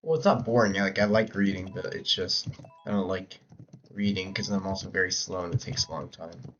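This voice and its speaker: A man streaming a game in casual chat who rambles with fillers and restarts, his voice hoarse from talking. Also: low-pitched, fairly quick, a little hiss or hum. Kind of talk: casual live-stream chat while playing. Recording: dull lo-fi mic with faint keyboard typing.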